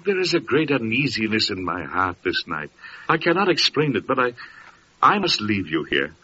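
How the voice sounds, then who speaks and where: Yearning, low-voiced wandering seeker. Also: male voice, sing-song, normal speed, pushed and bright, breathy edge, exaggerated voice. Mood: anguished resolve. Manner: earnest, dramatic delivery. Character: yearning, restless male seeker, wandering traveler. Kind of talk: old-time radio drama acting. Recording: muffled vintage radio recording with music.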